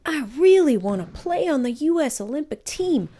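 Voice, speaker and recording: A woman's voice: high voice, big pitch swings, moderate pace, warm tone, voice pushed up. A woman voicing an eager, determined nine-year-old boy in an earnest, pleading way for a storybook.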